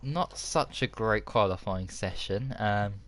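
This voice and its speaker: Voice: low-pitched, slow, slight background noise. A host commentator on a sim racing livestream, enthusiastic, with many ums and exclamations. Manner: enthusiastic, filler-heavy.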